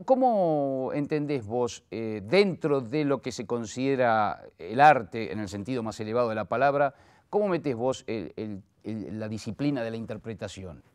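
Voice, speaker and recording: A man's voice: medium pitch, animated, normal speed, a little mellow, clean tone. A man with a Rioplatense Argentine accent interviewing conversationally, with hesitant, searching phrasing and repeated words.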